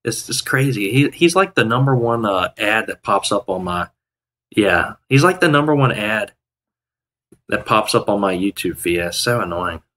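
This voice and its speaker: A man's voice: lowish voice, animated, moderate pace, a bit bright. A man in his thirties chatting casually on a day-trading live stream from home, rambling with restarts and fillers. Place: home room, indoors. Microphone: headset.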